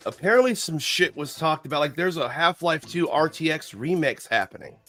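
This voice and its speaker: A man with a head cold, losing his voice, chatting excitedly on a livestream, cursing and tripping over his words. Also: fairly high pitch, fairly quick, faint music behind. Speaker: podcast co-host. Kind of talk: casual livestream chat.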